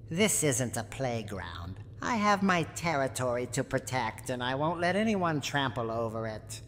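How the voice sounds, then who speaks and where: Very deep, slow, menacing old granny. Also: female voice, full character voice. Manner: menacing, haughty, slow and theatrical. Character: cranky menacing lonely old granny villain from horror game. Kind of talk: dramatic scripted roleplay monologue, ASMR audio drama. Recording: long hall reverb, audible background noise.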